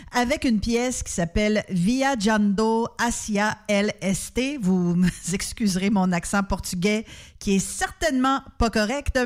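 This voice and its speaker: Chatty Québécois woman radio host. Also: mid-range voice. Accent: Québécois French. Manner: chatty, informal, hesitant with many pauses. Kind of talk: radio music show hosting.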